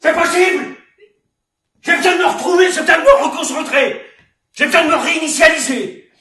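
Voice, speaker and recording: A man playing a volatile, domineering theatre director in a theatrical, hectoring, repetitive way, swinging between hushed intensity and shouting. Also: medium pitch, lively intonation, normal speed, close to an everyday voice. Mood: furious, ranting. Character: domineering, volatile male theatre director berating his actress. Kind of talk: theatrical stage acting. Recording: roomy, distant mic with background noise.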